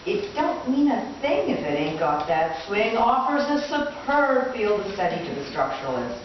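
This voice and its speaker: Female poetry performer, theatrical mock-academic reading, distant mic with clear background noise. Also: mid-range voice, sing-song, moderate pace, very echoey, lo-fi sound. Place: live reading in a gallery.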